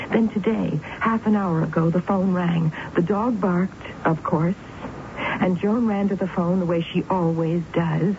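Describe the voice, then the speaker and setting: An actress playing an obsessed, nervy woman in a 1970s radio drama, speaking with intense, deliberate dramatic delivery. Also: on the low side, a little hiss or hum, natural voice. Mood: urgent, insistent. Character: obsessed, nervy woman convinced her sister is possessed. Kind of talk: 1970s radio drama acting, first-person narration. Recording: muffled phone-like radio recording, loud music.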